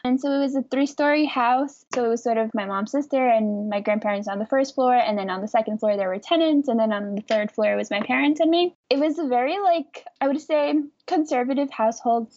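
A young woman from the United States telling her own story in a reflective, casual way, often pausing with "like". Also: fairly high pitch, darkish tone, lo-fi sound. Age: young adult. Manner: reflective, with frequent "like" and restarts. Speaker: interviewee.

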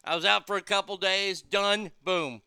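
Brash, bright-voiced talk radio host. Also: male voice, high voice, moderate pace, clear, resonant tone, no room sound, clean studio sound. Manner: loose, irreverent, crude, reads listener messages aloud. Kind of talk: casual talk radio banter.

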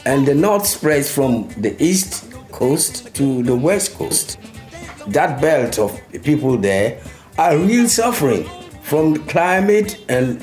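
An older veteran musician from Ghana, reminiscing in an interview. He talks excitably and repeats words while searching for them. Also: mid-range voice.

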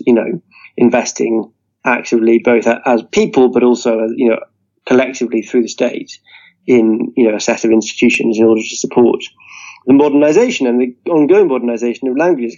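A man's voice: mid-range voice, brisk, dark tone. A man being interviewed, setting out an earnest argument and often leaning on "you know" as he works through his points. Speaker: interview guest. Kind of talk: political discussion. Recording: dull lo-fi audio.

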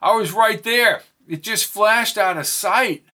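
A man voicing an excitable, goofy young man who speaks in an earnest, worked-up way. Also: high-pitched, measured pace, voice pushed up. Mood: excited.

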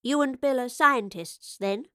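A woman's voice: a little high, unhurried, pushed and bright, no echo, studio quality, voice pushed up. Woman playing a courteous, old-fashioned lady professor. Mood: courteous, curious. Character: polite, formal, inquisitive lady professor, a time-travelling scientist.

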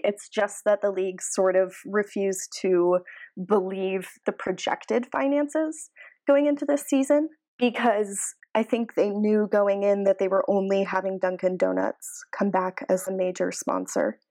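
Measured woman co-hosting a podcast. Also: medium pitch, lively intonation, a little mellow. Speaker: podcast co-host. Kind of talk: casual analytical podcast discussion.

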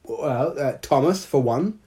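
A man's voice: mid-range voice, animated, slow pace, dark tone, pitched above the performer's own voice. A man voicing a cheeky, boastful male talking duck in a storybook reading. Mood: blustering. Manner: blustering, boastful.

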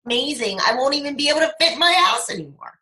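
A woman in her fifties speaks as a podcast guest, persuasive and emphatic. Her tone is bright and forceful, and she pushes her points home with lively conviction. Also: high-pitched, quick pace. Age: 50s. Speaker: guest, speaker and brand coach. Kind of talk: podcast interview conversation.

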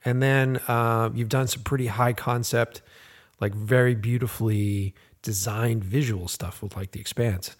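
A man with a raspy, hoarse voice hosting a podcast, rambling casually with lots of ums and uhs. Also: medium pitch, fairly even intonation, a little slow. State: hoarse from a busy week. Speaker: podcast host.

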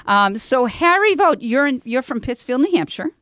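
A woman's voice: high voice, big pitch swings, normal speed, fairly bright tone, no room sound, clean studio sound. A woman hosting a live community radio show in an informal, rambling, effusive way, ad-libbing as she goes.

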